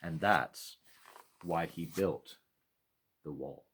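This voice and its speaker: A man reads a children's story aloud as a pastor at storytime, in a measured, expressive way with deliberate pauses. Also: low-pitched, slightly rough. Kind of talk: storytime read-aloud narration.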